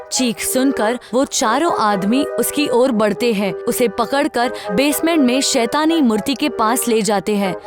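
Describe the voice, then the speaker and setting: A woman reading scripted narration for a horror story as the storyteller. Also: a little high.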